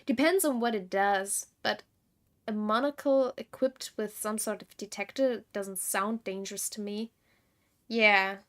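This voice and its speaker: A woman giving casual gameplay commentary as a streamer, chatty and thinking aloud in halting bursts. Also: medium pitch, steady tone. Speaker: game streamer.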